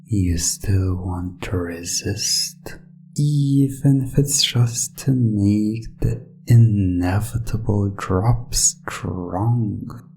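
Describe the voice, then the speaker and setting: A hypnotist delivers commanding, coaxing ASMR in a dark, mellow voice with a gravelly edge. The pace is drawn out and very slow, full of deliberate pauses, over faint music. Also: sits low.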